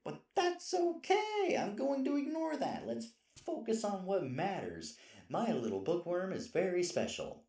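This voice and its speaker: A man's voice: high voice, sing-song, unhurried, a little mellow, exaggerated voice. A man playing a sweet, flustered, self-deprecating boyfriend in an intimate roleplay audio, hesitant and rambling as he corrects himself. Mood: nervous, affectionate.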